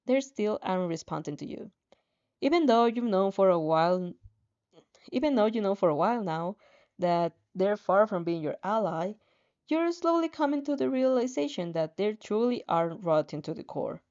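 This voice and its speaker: A woman reading a story aloud in an expressive, dramatic way, with playful casual asides and the odd stumble over a line. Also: mid-range voice, normal speed. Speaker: fan fiction reader reacting as she reads. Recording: dull, slightly muffled lo-fi audio.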